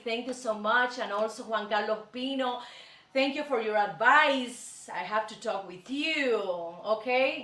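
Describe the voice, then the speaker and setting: A Cuban woman with a Spanish accent hosts her show in a fairly high voice, taking her time in halting English. She is effusive with viewers, and her Spanish is native. The sound is roomy, a little off the microphone. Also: a bit bright. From Cuba. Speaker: show host. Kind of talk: chatty show presenting to viewers. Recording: roomy echo, distant microphone.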